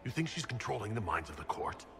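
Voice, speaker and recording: A man's voice: mid-range voice, sing-song, quick pace, creaky, background noise. A man playing a composed commander in a video game, direct, questioning and authoritative. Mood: calm, probing. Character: composed, probing male commander of an inquisition. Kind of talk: video game dialogue acting.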